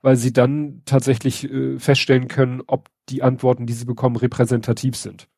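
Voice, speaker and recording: Mann, verschnupft, rauchig-heiser, trockener Raumklang, locker und spöttisch plaudernd. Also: male voice, mid-range voice, flat delivery, brisk, creaky, darkish tone, husky, no room sound, clean studio sound. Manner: wry, sarcastic, hesitant with many fillers. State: stuffy nose from a cold. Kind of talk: casual news chat.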